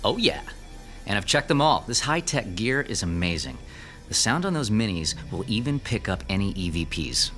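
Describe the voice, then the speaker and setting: A breezy ghost-hunt cameraman in a radio horror play. He is slangy and eager, with animated swings in pitch and music clearly behind him. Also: moderate pace. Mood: upbeat, casual. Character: breezy, slangy, easily impressed male cameraman. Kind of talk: radio horror drama acting.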